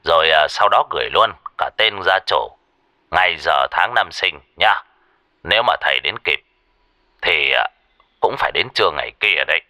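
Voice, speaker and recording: A man's voice: low voice, expressive, normal speed, sharp tone, no echo, studio quality, voice pushed down, heavily acted. A man voicing an older male master exorcist giving instructions, with hesitant fillers. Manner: hesitant, fillers, matter-of-fact.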